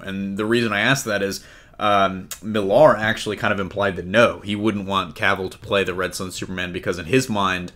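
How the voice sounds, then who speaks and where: A man with a fairly low voice hosts a casual podcast. He talks through entertainment news in a chatty, enthusiastic fan's manner, steering the discussion. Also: a bit bright. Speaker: podcast host.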